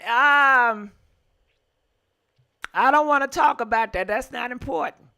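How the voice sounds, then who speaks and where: A woman's voice: a little high, takes it slowly, pushed and bright. A woman hosting a live gossip call-in show, acting out a preachy role play and teasing her callers.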